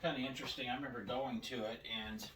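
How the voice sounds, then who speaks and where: A man's voice: mid-range voice, steady tone, fast. An older man casually showing his cassette tapes at home, rambling and often repeating himself as he restarts his words. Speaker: video host showing his cassette tape collection. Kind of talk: casual show-and-tell narration. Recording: faint background noise.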